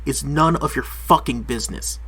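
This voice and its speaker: A man's voice: medium pitch, moderate pace, pushed and bright, breathy edge. An actor playing a small-town police chief and father, firm, curt and ready to swear. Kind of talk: audio drama acting.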